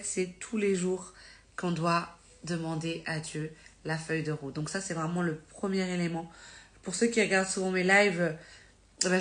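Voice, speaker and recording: A woman's voice: on the low side, fairly bright tone. Slightly congested woman giving a chatty sermon. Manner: conversational, with many fillers and self-corrections; earnest and encouraging. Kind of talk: informal live-stream teaching.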